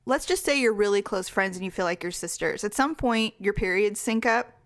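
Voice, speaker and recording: A woman in her forties co-hosting a podcast, chatting casually with her sister, blunt and frank and quick to laugh. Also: mid-range voice. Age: 40s. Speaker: podcast co-host. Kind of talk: casual chat.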